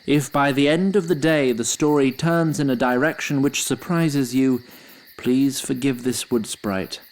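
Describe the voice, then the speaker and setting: A man's voice: medium pitch, unhurried, lightly acted, rough recording. A man playing a fussy, courtly wood sprite who narrates a fantasy audio drama in formal, theatrical language. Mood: wry, courtly.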